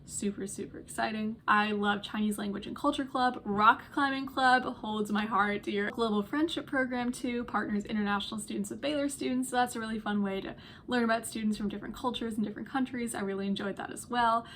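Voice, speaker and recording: A woman's voice: a little high, fairly even intonation, a little fast, few pauses, fairly bright tone, a little hiss or hum. A young woman from Dallas, a student ambassador talking to camera in an upbeat, enthusiastic way. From Dallas, Texas. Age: young adult. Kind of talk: casual video chat to camera.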